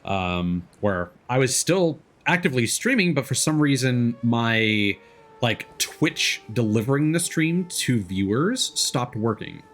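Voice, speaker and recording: A man's voice: medium pitch, big pitch swings, takes it slowly. A man chatting casually as he hosts a game livestream, rambling and thinking aloud. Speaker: streamer and host. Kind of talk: casual livestream gameplay chat.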